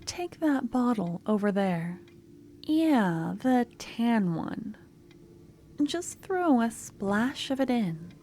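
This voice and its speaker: A woman playing a sweet but obsessive young student stalker in an ASMR audio roleplay, soft, coaxing and a little awkward as she talks to the listener. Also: a little high, very animated, drawn-out words, a little hiss or hum, exaggerated voice. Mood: soft, instructive.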